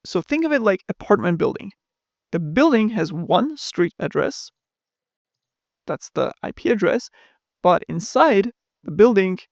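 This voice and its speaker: A man narrating a scripted technical tutorial, explaining smoothly and evenly. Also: high voice, no echo, muffled recording. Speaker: course instructor.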